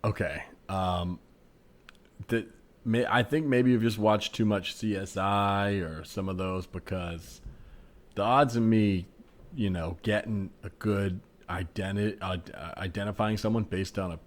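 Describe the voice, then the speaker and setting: Guy playing easygoing forensic scientist, kinda low voice, patient but doubtful, halting, improv comedy. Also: steady tone, natural voice. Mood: reluctant. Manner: hesitant, patient.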